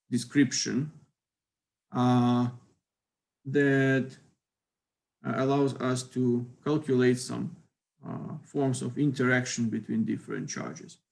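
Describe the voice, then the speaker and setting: A middle-aged man teaches a university physics class, talking through a computer mic. He speaks slowly and haltingly, stopping often for fillers, and his voice has a gravelly fry. His pitch sits in the middle range for a man. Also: darkish tone. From Ukraine. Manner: hesitant, many fillers, careful non-native phrasing. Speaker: physics lecturer. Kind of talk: university lecture.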